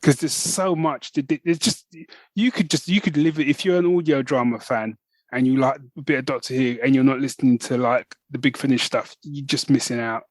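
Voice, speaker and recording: A man's voice: medium pitch, fairly quick, no echo. Enthusiastic rambling male podcaster. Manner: enthusiastic, rambling with many restarts. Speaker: podcast co-host. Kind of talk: casual chat.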